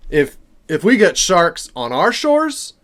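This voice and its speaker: A man's voice: high-pitched, slow pace. An American man from Maine giving casual reaction commentary, rambling and jokey, often interrupting himself. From Maine, United States. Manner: animated, self-interrupting, jokey. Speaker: reaction video host.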